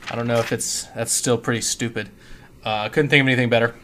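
A man's voice: medium pitch, steady tone, quick pace, sharp tone, slight background noise. A man co-hosting a podcast in casual chat, informal and self-deprecating with some mild swearing. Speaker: podcast co-host.

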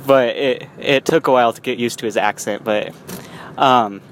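A man's voice: medium pitch, normal speed. Young man, casual vlog from behind the wheel, rambling, light traffic hum. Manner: rambling with frequent restarts and small laughs. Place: in a car while driving. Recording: faint road noise.

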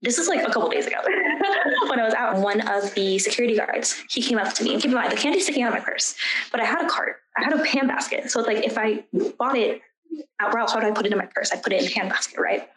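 A woman's voice: a little high, fast. A young American woman telling personal stories in an interview, speaking informally with quoted asides and a lot of "like". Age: young adult. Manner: informal, animated retelling with quoted asides and frequent "like". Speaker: student interviewee. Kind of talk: candid personal storytelling in an interview. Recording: roomy, echoey sound.

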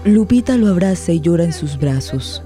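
A woman's voice: lowish voice, lively intonation, moderate pace. A woman giving a dramatic scripted narration of a radio play. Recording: soft guitar music under voice.

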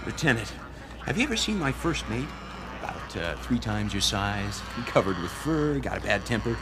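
An actor with an American accent playing a gruff, sarcastic space smuggler captain in a radio drama. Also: male voice, on the low side, very animated, normal speed, background noise. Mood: menacing. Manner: sarcastic, brash, commanding, slangy. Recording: music and effects behind voice. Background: dramatic music.

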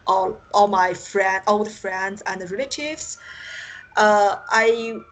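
A woman from China with a Chinese accent, a native Mandarin speaker, answering interview questions haltingly over an internet call and often searching for words. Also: mid-range voice, steady tone, poor audio. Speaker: science fiction author, interview guest. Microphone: Skype call.

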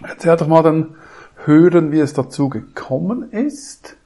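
A man's voice: on the high side, animated, measured pace, warm tone. A man hosting a podcast interview, asking loose, friendly questions in a casual way. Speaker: podcast host.

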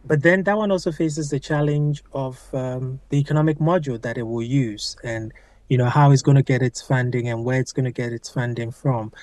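A man hosting an interview who asks measured questions and gives short affirmations while listening. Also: mid-range voice, a little mellow, no echo. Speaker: interview host.

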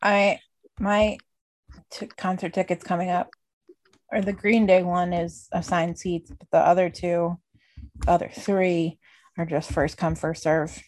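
A woman in her late thirties co-hosting a podcast, relaxed and laughing at herself. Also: lowish voice, a little slow. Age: 30s. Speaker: podcast co-host. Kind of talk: casual chat.

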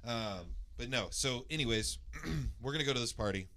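A man from the Indianapolis area with a naturally loud voice, casually telling a long personal story, rambling with lots of fillers and restarts and clearing his throat. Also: medium pitch, lively intonation, moderate pace, a little hiss or hum. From Indianapolis area, USA.

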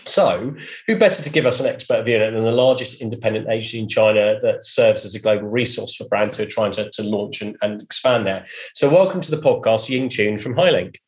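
A man's voice: lowish voice, fairly quick. A man co-hosting a podcast, introducing the topic and guest in a conversational interview style. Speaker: podcast co-host.

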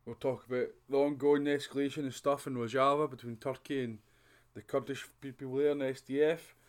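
Brief: Scottish male podcast host, mid-pitched, laid-back chat, trails off quietly. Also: steady tone, brisk. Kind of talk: casual chat.

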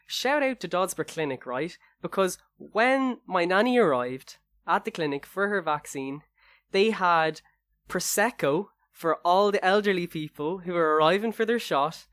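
A young Irish man co-hosting a podcast, telling anecdotes in an upbeat, excitable way during casual chat. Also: high voice, normal speed, no room sound. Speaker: podcast co-host.

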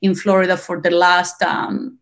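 A middle-aged woman, a native Spanish speaker with a Latin American accent, giving a personal account in an interview, earnestly and haltingly as she searches for words. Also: on the low side, monotone. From Cuba, grew up partly in Costa Rica. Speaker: interview guest.

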